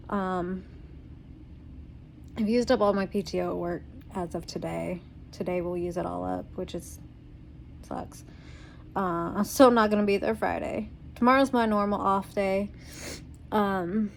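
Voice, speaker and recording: A young woman talks through a casual home video diary, her voice worn out and stuffy from a cold. She rambles along in a middle-pitched voice, full of ums and little laughs, with a faint hum of noise in the background. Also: creaky, darkish tone. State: tired, congested voice from covid. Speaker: vlogger.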